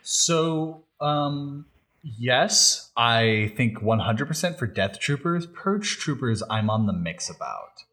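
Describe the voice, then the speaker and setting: A man's voice: medium pitch, expressive, slow, fairly bright tone. A man co-hosting a podcast, chatting informally and thinking aloud as he goes. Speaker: podcast co-host. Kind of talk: casual chat about gaming and film news.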